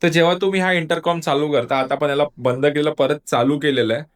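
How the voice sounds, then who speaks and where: A man's voice: fairly high pitch, fairly even intonation, fast. A man giving a casual product review, explaining step by step and talking straight to viewers. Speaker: motovlogger reviewing gear.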